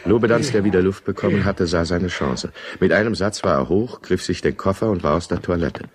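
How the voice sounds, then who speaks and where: Guy acting a boozy desperate middle-aged man, low voice, maudlin n menacing, radio drama. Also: brisk, a crowd in the background, natural voice. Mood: wry, reflective narration. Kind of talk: German radio drama acting with first-person narration.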